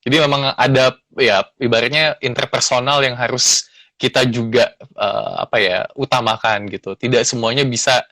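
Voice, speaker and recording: A man's voice: medium pitch, steady tone, moderate pace, bright, forceful tone, dry recording. A man in his thirties, a lawyer guest in a casual interview, speaking earnestly and reflectively with many fillers. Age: 30s.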